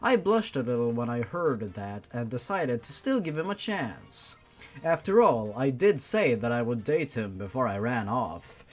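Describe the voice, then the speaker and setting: A man's voice: medium pitch, very animated, unhurried, faint music behind. Sarcastic young man reading fan fiction. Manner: sarcastic, swears freely, breaks off to mock the text. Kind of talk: story reading with sarcastic commentary.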